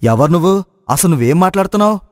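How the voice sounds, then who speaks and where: A naive village cowherd acted by a man with a fairly high male voice. He speaks in excitable exclamations and questions, as in a moral story. A long reverb hangs on the recording. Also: racing, clean studio sound. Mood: puzzled, eager. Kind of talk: Telugu moral story voice acting.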